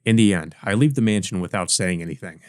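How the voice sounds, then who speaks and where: A man's voice: low-pitched, fast, smooth, dry recording. A man hosting a let's play, reading a visual novel aloud with casual asides. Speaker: let's play host and reader.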